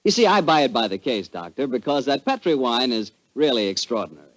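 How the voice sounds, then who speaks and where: An American man working as a 1940s radio announcer and sponsor pitchman. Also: very animated, brisk. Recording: vintage 1940s radio broadcast.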